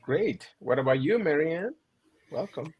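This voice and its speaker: A man hosting a video call panel, speaking as a with many pauses and fillers. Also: on the high side, expressive, slightly rough, lo-fi sound. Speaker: panel host, physician.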